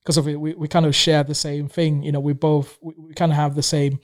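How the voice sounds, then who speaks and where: A man's voice: a little high, little pitch movement, fairly quick. A man in his thirties who grew up in Tanzania and speaks Swahili natively, chatting casually as a guest, rambling with many fillers and restarts. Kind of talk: casual conversation.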